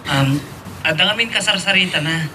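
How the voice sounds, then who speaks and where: An actor playing a polite, hesitant employee of a rich boss in a radio drama. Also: male voice, sits high, animated, normal speed, background noise. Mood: deferential. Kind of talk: Ilocano radio drama acting.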